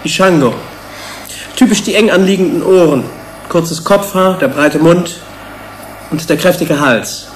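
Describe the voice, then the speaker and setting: Calm, slightly high-voiced male researcher. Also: a little slow, long pauses, a little hiss or hum, poor audio. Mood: clinical. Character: calm, factual male researcher presenting findings. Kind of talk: German radio play dialogue.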